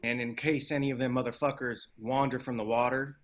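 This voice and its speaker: A cocky, casual male activist with a mid-pitched voice, played by a man at a table read. He talks with easy swagger. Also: fairly even intonation, brisk, lightly acted. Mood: cool bravado. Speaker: actor in a screenplay table read.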